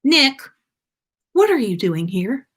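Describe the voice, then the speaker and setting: A woman's voice: on the high side, very animated, slow pace, a bit bright, husky, soft background music, voice pushed up, full character voice. A woman voicing a puzzled teenage boy wizard in a story reading, in a questioning manner. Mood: confused.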